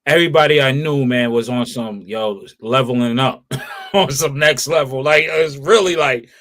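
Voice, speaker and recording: A man nearly fifty co-hosting a casual hip-hop chat, rambling and full of "you know", sinking low as he reminisces. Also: moderate pace, fairly bright tone, dry recording, studio quality. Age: 40s. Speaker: podcast co-host.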